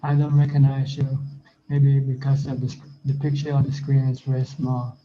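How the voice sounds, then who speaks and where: A male Buddhist monk talks through a teaching in an even, level tone, with little rise or fall. He repeats himself and leans on homely comparisons to make points. The sound is muffled, lo-fi video call audio. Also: medium pitch, a little fast, slight background noise. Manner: plain, repetitive teaching with simple analogies. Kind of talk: informal Dhamma talk and Q&A.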